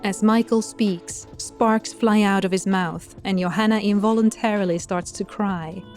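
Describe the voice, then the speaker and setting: A woman's voice: mid-range voice, fairly even intonation, measured pace, darkish tone, soft background music. A woman giving scripted narration as the storyteller of an audio drama.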